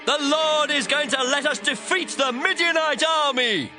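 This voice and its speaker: A man's voice: very high voice, a little slow, music in the background. A male actor playing a bold, fiery ancient commander in a dramatized audio Bible, with a commanding, declamatory delivery. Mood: rousing, commanding.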